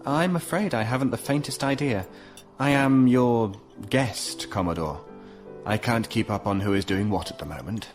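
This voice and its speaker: An actor playing a smug, unflappable captive inventor in a science fiction audio drama, speaking in a measured, suavely condescending drawl. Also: male voice, medium pitch, faint music behind, lightly acted. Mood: mock-polite, smug. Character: smug, unflappable male genius inventor held prisoner.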